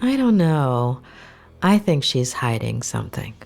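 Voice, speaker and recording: An actress playing a composed, weary therapist, probing and guarded, in a naturalistic video game performance. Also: female voice, rumbling low, very animated, a little slow, vocal fry, darkish tone, faint music behind, full character voice.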